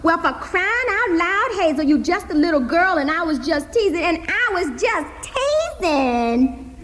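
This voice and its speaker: A woman's voice: very high voice, very animated, a little slow, fairly bright tone, a little hiss or hum, voice pushed up, heavily acted. Woman doing a drawling young man. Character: teasing grown man, young uncle figure.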